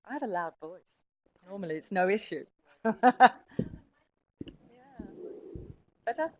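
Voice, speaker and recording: A woman's voice: medium pitch, dull audio. A woman giving a conference presentation, speaking in a friendly way and addressing the audience directly. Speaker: academic speaker.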